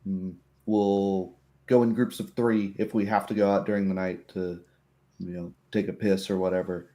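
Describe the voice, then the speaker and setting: A man plays a young farmer from a tabletop fantasy game. He talks plainly and takes charge in a practical way, with a mellow edge to his voice. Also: sits low, level tone, moderate pace, close to an everyday voice. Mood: brisk, practical. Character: plain-spoken townsman farmer in his twenties, taking charge. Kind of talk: tabletop roleplay.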